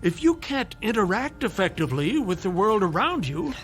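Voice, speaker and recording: An actor playing a long-suffering older doctor in a radio drama, dry, eloquent and sardonic. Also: male voice, high voice, very animated, normal speed, soft background music. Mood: sardonic, patient.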